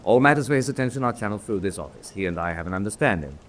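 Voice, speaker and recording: An actor playing a senior officer in a radio drama, dry and authoritative, with a clipped, formal manner of briefing. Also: male voice, fairly low pitch, animated, rapid-fire, a little hiss or hum, lightly acted. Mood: brisk, authoritative. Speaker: senior prisoner-of-war officer.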